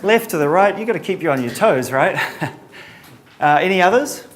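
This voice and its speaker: A middle-aged man from New Zealand with a Kiwi accent, giving a relaxed conference talk with lots of ums and a dry sense of humor. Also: high voice, normal speed. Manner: casual, many ums and uhs, dry humor. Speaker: conference presenter.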